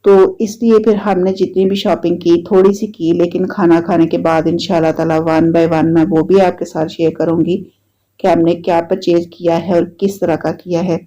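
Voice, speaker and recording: A woman's voice: lowish voice, normal speed. A woman with a Pakistani Urdu accent and a slightly sore throat, chatting casually as she narrates her home video diary. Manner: chatty, repetitive, relaxed storytelling. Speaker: vlogger. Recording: dull lo-fi sound.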